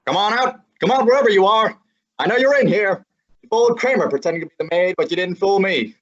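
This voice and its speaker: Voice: medium pitch, expressive, fast talker, close to an everyday voice. A young actor voicing a wisecracking male assistant detective in an old-time radio drama, with a brash, slangy delivery. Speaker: student actor. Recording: slightly dull lo-fi sound.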